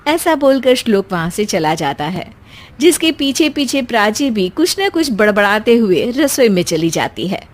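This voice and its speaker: A woman's voice: a little high, big pitch swings, moderate pace. A woman narrating a scripted cartoon family story as a storyteller.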